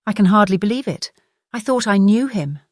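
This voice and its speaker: An actress with a British accent playing a gentle, distressed married gentlewoman in an audiobook drama, speaking earnestly and hesitantly. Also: medium pitch, expressive, brisk, dry recording, studio quality, close to an everyday voice. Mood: eager, amazed. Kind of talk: full-cast audiobook drama reading.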